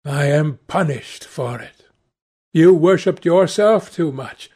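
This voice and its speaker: An anguished, devout painter, acted by a male audiobook reader speaks in a raised, husky voice. He is agitated and imploring, moralising with theatrical horror. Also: fairly high pitch, slow, warm tone. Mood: anguished pleading. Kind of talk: dramatic audiobook character voice.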